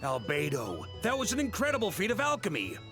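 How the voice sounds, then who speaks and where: An actor playing a jovial, protective mentor in a video game, with a theatrical, instructive manner. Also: male voice, sits high, huge pitch swings, a little fast, creaky, exaggerated voice. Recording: game music behind.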